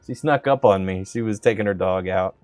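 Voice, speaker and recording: A man's voice: deep voice, a little fast, darkish tone, no room sound. A man from the Southern United States with a neutral accent. He rambles casually with lots of fillers while commenting on a game he is playing. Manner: rambling and chatty, with many fillers. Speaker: streamer. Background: faint game music.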